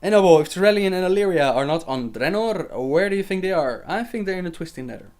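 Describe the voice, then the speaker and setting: A man's voice: high-pitched, animated, fast, a little mellow. A young man hosting a casual livestream Q&A, reading viewer questions aloud and answering them chattily with fillers and laughs.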